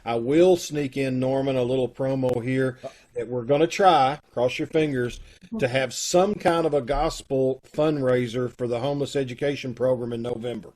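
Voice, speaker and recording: A man hosts a live video call from his home. His voice sits at an ordinary middle pitch, and he talks in a loose, folksy way with plenty of fillers. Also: steady tone, normal speed, darkish tone. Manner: folksy and rambling, with many fillers. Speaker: host of a community theater troupe.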